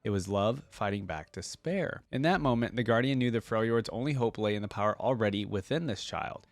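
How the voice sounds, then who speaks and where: A man's voice: fairly low pitch, lively intonation, brisk, dry recording. A man reading a story aloud expressively and breaking off into casual, slangy commentary as a reaction video host.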